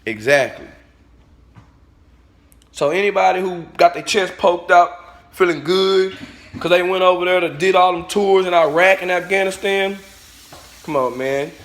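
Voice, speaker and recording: A man's voice: sits high, measured pace, pauses often, fairly bright tone. A man delivering a blunt, profane, preachy rant straight at his viewers in a vlog. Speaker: vlogger. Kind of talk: ranting monologue to camera.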